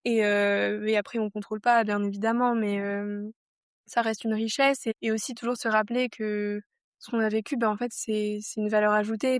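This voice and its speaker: A woman's voice: medium pitch, no echo, clean studio sound. A woman in her twenties from France, a podcast guest talking things over casually and reflectively, with many fillers and restarts. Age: 20s.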